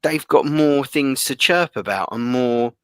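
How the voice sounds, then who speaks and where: A man's voice: mid-range voice, unhurried, smooth, no echo, clean studio sound. A man co-hosting a football podcast, rambling with lots of fillers in casual chat. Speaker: podcast co-host.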